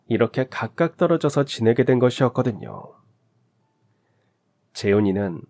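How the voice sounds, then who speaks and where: A man narrating a personal story as a radio drama, in a measured, emotive storytelling manner. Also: medium pitch, darkish tone.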